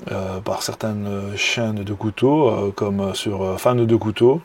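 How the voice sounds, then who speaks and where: A man's voice: fairly low pitch, steady tone, unhurried, a little mellow, slightly rough. A man from Belgium with a Belgian French accent, showing off a knife in a casual video review, full of fillers and restarts and admiring enthusiasm. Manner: hesitant, many fillers and restarts. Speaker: knife hobbyist vlogger.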